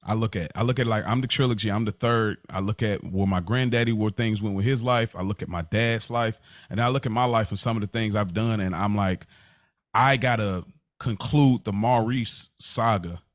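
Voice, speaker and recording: A man's voice: lowish voice, moderate pace, no room sound, studio quality. A man co-hosting a podcast in casual, slangy talk, often repeating and rephrasing himself as he explains things. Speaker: podcast co-host. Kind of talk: casual chat.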